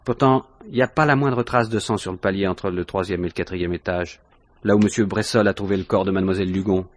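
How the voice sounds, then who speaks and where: An actor playing a suspicious police inspector in a 1950s French radio mystery, questioning insistently with dry understatement. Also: male voice, sits low, fast talker, lightly acted.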